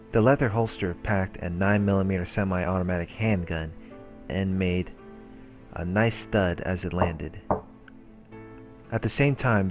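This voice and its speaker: A man with a very low voice reads a visual novel aloud for a let's play, slipping between narration and offhand comments. Faint music plays behind him. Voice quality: low-pitched. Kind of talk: read-aloud narration with casual asides. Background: game music.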